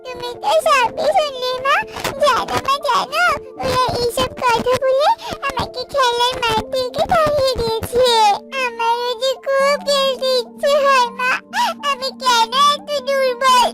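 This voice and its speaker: A woman's voice: very high voice, steady tone, a little slow. A woman voicing a frail little girl bird in a cartoon, whining and plaintive, repeating her words as she pleads. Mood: tearful. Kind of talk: children's cartoon voice acting. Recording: loud music behind the voice.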